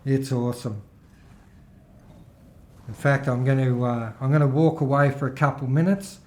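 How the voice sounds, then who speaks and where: A man's voice: mid-range voice, flat delivery, normal speed, a little mellow, echoey room. A middle-aged man gives rambling, casual commentary over his gameplay, his throat still a little worn. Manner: rambling, chatty, with filler-heavy restarts. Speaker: gaming video host.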